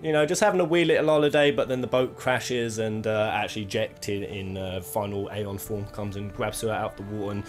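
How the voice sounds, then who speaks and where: A man's voice: on the low side, a little fast, hardly any pauses. A man giving casual, opinionated video commentary as a host, rambling and emphatic, with a bit of swearing. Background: instrumental music.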